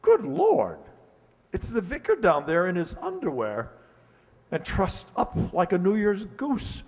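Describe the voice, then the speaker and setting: A man playing a genial, exclamatory lord with a husky voice in an amateur radio play. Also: high-pitched, wildly swooping pitch, measured pace, darkish tone, heavily acted. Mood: alarmed. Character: excitable, genial English lord and host. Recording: reverberant hall-like radio play recording.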